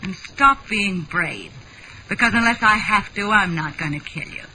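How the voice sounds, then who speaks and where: A woman's voice: mid-range voice, lively intonation, unhurried. A woman acting a cold, commanding young killer in an old radio noir, with taut theatrical delivery. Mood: menacing. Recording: bright, faintly noisy old radio recording.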